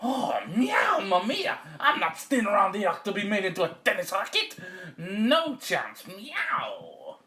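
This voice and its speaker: A man's voice: high-pitched, wildly swooping pitch, a little slow, sharp tone, pitched above the performer's own voice, full character voice. A man voicing a cheeky, theatrical talking cat with an exclamatory, hip way of talking. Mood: mock outrage. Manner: exclamatory, meowing, hip slang.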